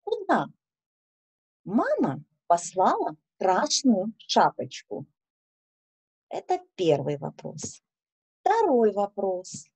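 A woman in her thirties telling a story to children in a deliberate, expressive, instructive way. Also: mid-range voice, husky. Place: small indoor room with bookshelves.

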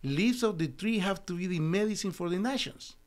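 A middle-aged man from El Salvador with a Spanish accent, telling his story as an interview guest in halting, earnest English in a small studio on a desk mic. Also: high-pitched, animated. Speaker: guest, naturopathic doctor. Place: small studio with foam wall panels. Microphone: desk podcast mic.

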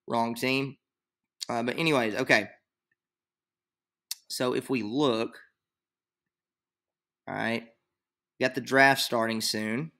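A man's voice: mid-range voice, fairly even intonation, takes it slowly, pauses often, bright, forceful tone. A young man explaining a draft on screen in a casual, rambling way, full of fillers, recorded at his computer in a small room. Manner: rambling, filler-heavy, thinks aloud. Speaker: fantasy league tutorial maker. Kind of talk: casual screen-share tutorial.

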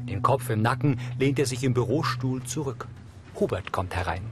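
A man's voice: medium pitch, expressive, moderate pace, background noise. A man reading scripted audio description for a television drama in a matter-of-fact way. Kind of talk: scripted narration.

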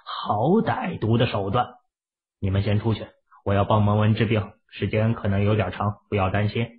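A man playing a curt, low-voiced hero. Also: flat delivery, normal speed, narrow, tinny audio, close to an everyday voice. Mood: grave, commanding. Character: calm, commanding young male healer hero.

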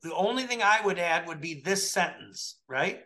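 A man in his forties talks a client through a document over a webcam call, pressing each point and tagging it with "right?". His voice sits a little high for a man and rings bright and forceful. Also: lively intonation, moderate pace. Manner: emphatic, keeps checking in with "right?". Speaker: trucking compliance coach. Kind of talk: coaching review over a video call. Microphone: webcam video call.

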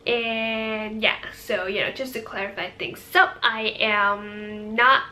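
A woman's voice: mid-range voice, steady tone, takes it slowly, sharp tone, slight background noise. An eighteen-year-old woman with a Hong Kong accent, a native Cantonese speaker, chatting casually and a little hesitantly to camera as a vlogger. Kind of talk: casual chit-chat to camera.

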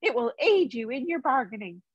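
A woman reading a storybook, playing a small, fussy, polite male hobbit, nervous but plucky, with a squeaky voice and chatty, hedging manner. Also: very animated, a little fast, husky, dry recording, muffled recording, heavily acted. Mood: nervous, sincere.

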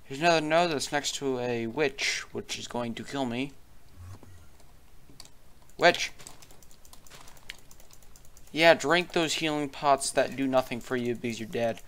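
A man's voice: mid-range voice, measured pace, long pauses, fairly bright tone, smooth. Chatty young man gaming. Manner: chatty, pleads with in-game mobs. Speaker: gaming channel co-host. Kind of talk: casual gameplay commentary.

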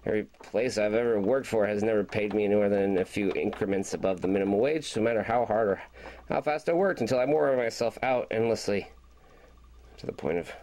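A man's voice: on the low side, fairly quick, darkish tone, natural voice. A man playing an embittered, rambling spaceship commander in an amateur science-fiction audio drama, agitated and defiant. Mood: bitter, weary. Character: embittered male spaceship commander and struggling artist, ranting defiantly. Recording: very noisy home-made tape recording.

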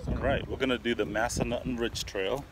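A man casually narrating a family hike on a mountain ridge trail, chatting like a relaxed tour guide. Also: mid-range voice, quick pace. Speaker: vlogger filming a family hike. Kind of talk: casual hiking vlog narration. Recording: loud outdoor wind and ambience.

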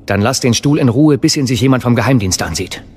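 Lively, cautious warrior mentor. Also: male voice, mid-range voice, fast talker, husky. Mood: calm, cautious. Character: measured male warrior mentor, cautious and wryly patient. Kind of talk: audio drama acting.

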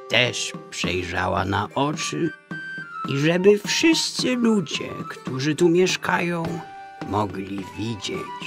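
An actor in a radio play voicing a mysterious, prophetic traveller with a solemn, drawn-out, portentous delivery. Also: mid-range voice, vocal fry, exaggerated voice.

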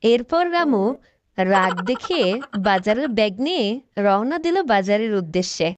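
A woman's voice: medium pitch, very animated, normal speed, noisy background. A woman narrating a scripted cartoon story.

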